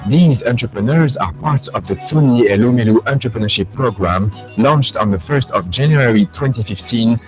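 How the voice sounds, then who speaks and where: A man giving scripted documentary narration in an even, polished delivery. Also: on the low side, poor audio.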